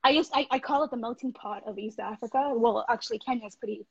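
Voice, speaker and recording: A woman's voice: on the high side, fast, creaky, slightly rough, no echo, muffled recording. A young woman from Tanzania hosting a casual discussion. She talks reflectively and conversationally, often checking in with "you know".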